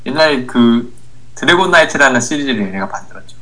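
Male co-host, bright forceful tone, medium pitch, casual chat. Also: lively intonation, fast talker, poor audio. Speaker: podcast co-host.

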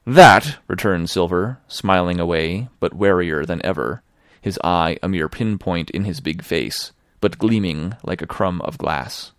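A man voicing a crafty, wheedling old male pirate cook who speaks in rough sailor's dialect. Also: low voice, unhurried, no room sound, clean studio sound. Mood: wary.

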